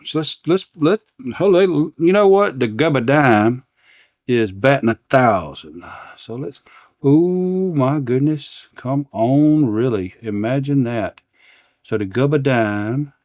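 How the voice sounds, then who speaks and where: A man's voice: mid-range voice, expressive, slow, darkish tone, no echo. Man casually talking through a repair, dry recording. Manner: unscripted, talking to himself while working. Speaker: repair channel host. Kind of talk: casual hands-on repair demonstration. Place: indoors at an electronics workbench.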